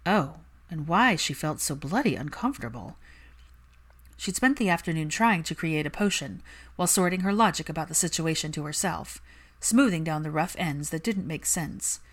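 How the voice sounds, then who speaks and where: Female story narrator, scripted reading, lowish pitch, very lively intonation. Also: moderate pace. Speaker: fan fiction narrator.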